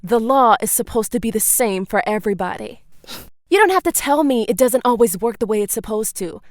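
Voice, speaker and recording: An actress playing a principled small-town police chief and protective older sister in an audio drama, speaking firmly and earnestly. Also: female voice, a little high, animated, fairly quick, no echo, studio quality, close to an everyday voice. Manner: earnest, firm. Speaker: actor.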